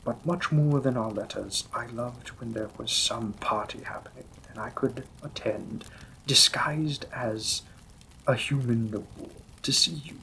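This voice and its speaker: A man performs a demon king from a romantic fantasy audio drama. He speaks slowly and intimately in a gravelly, bright-edged voice, formal in his wording and gently teasing, like a protective, possessive lover. Faint noise sits behind him. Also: mid-range voice, rough recording. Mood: fond, amused.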